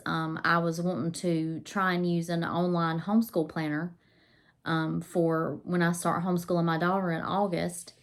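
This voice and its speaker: A woman vlogging about homeschooling, her voice a little scratchy and nasal from pollen, chatty with many ums and restarts. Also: fairly low pitch. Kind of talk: casual to-camera talk.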